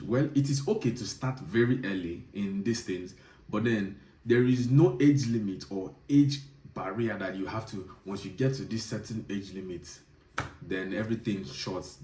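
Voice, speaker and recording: A man's voice: on the low side, mellow. A man in his thirties giving advice straight to viewers, speaking emphatically and repeating his key points. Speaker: video host, software developer. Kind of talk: direct-to-viewer advice monologue. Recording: roomy echo, distant mic.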